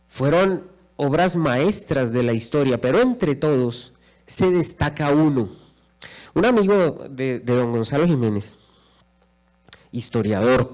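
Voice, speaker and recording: A man's voice: medium pitch, darkish tone. A middle-aged man with a Colombian accent giving an impassioned speech in a large auditorium, speaking into a handheld microphone and repeating phrases for rhetorical emphasis. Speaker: lecturer.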